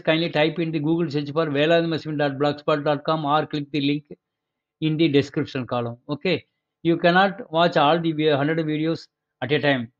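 Man, tamil accent, higher voice, halting, repetitive explainer, muffled lo-fi recording. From Chennai, India. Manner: repetitive, halting, with many self-corrections; polite and instructive, addresses the listener as sir. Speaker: channel host giving property auction advice. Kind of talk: casual explanatory voice-over.

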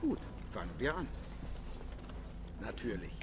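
A man's voice: lowish voice, big pitch swings, unhurried. A man voicing a warrior companion in a fantasy video game. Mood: ready. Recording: noisy game sound effects behind.

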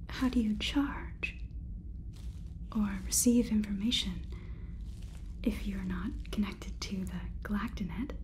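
A woman's voice: a little high, darkish tone, slight background noise, natural voice. A woman in her twenties voicing a polite, faintly condescending female AI robot overlord in a whispered ASMR roleplay, with stilted, soothing phrasing. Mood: puzzled, searching. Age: 20s. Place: small room indoors.

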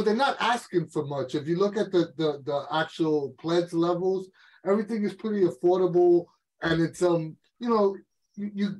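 High-voiced man, co-host, casual, earnest, repetitive emphasis. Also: rough recording. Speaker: interview co-host. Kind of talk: casual, earnest appeal to viewers. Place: indoor room on a video call. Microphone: video call.

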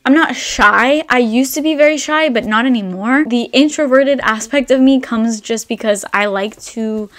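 Twenty-something girl from albania rambling thru a q&a, lots of "like", kinda high voice. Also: fairly bright tone. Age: 20s. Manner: chatty, rambling, full of "like" fillers. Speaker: vlogger. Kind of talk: casual Q&A chat to camera. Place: indoor room with brick wall and shelves.

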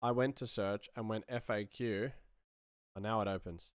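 A man's voice: fairly low pitch, steady tone, normal speed, no echo. A man in his thirties giving casual livestream commentary as he works, muttering in a laid-back way into a close microphone. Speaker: sound designer streaming his work. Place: small room indoors. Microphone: close studio microphone.

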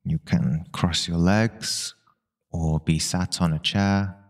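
Very slow, deep-voiced male meditation guide. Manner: calm, unhurried, long pauses between short phrases.

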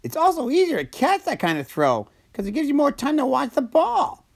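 An eager young male storybook character, pitched far up high. He is cheerful and excitable, and his voice bounces around in lively swoops. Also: fairly quick, vocal fry, full character voice. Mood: excited, agreeable.

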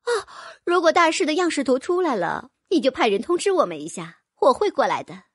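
A woman's voice: high-pitched, big pitch swings, brisk, no room sound. A woman voicing a fussy, fawning rich noblewoman who gushes with exclamations. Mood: fawning, gushing. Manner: gushing, exclamatory, wheedling.

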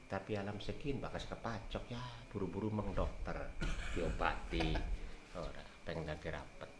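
Older man, low deep voice, rambling folk storyteller, roomy echo. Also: fairly even intonation, moderate pace. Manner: rambling, emphatic swings in loudness. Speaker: storyteller elder. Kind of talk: casual folk talk on herbal lore. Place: small room with green backdrop, seated on sofa. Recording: roomy echo with faint hiss.

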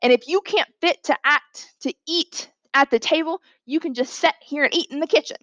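A woman voicing a stern, sharp-tongued female cook with a folksy, chiding manner, read from a novel. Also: sits high, moderate pace, no echo, voice pushed up. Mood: scolding.

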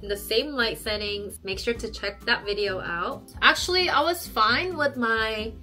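A woman, talking to the camera in a chatty, expressive way from a small bedroom. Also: a little slow, faint music behind. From South Korea. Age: 30s. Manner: chatty and expressive, acts out quoted people in a high voice. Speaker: YouTube vlogger. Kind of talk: casual talk to camera.

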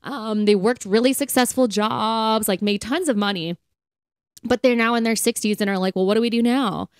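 A woman's voice: fairly high pitch, normal speed, clean tone, dry recording. Chatty American woman podcaster, close dry sound. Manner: chatty, emphatic, heavy use of "like". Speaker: podcast co-host. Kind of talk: casual conversational podcast talk.

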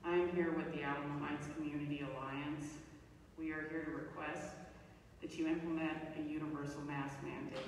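A middle-aged woman, a radiologist, reading a formal, matter-of-fact public comment at a school board meeting. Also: deep voice. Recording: echoey hall, distant mic, faint hiss.

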